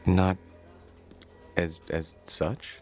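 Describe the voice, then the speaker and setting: A man's voice: deep voice, flat delivery, very slow, faint music behind, full character voice. A man acting in a radio drama as a timid, lonely, awkward thirty-something who speaks hesitantly and stammers. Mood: meek reluctance.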